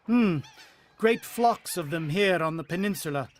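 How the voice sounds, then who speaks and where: An actor playing a brooding, uneasy husband and father in a vintage-style radio drama, musing with dramatic pauses. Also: high voice, animated, a little hiss or hum.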